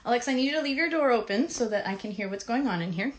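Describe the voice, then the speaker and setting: A woman co-hosting a children's puppet show as the grown-up, talking in an encouraging, gently instructive, parental way. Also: on the high side, expressive, rapid-fire, a little hiss or hum.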